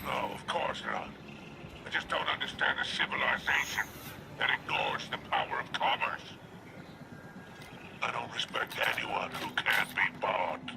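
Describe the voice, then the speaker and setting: A haughty alien crime boss from a video game, dry and businesslike. The voice is thick with gravel and swings widely in pitch. Clear game noise sits behind it. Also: male voice, animated, unhurried. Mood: smug disdain. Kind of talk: video game cutscene acting.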